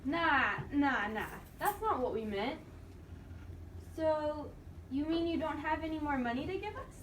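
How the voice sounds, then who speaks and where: Cheeky freeloading puppet, played by a woman; high register, slangy, recorded at a distance. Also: lively intonation, a little slow, a bit bright. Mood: casual, pushy. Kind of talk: amateur school puppet show. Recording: echoey room, distant mic, background noise.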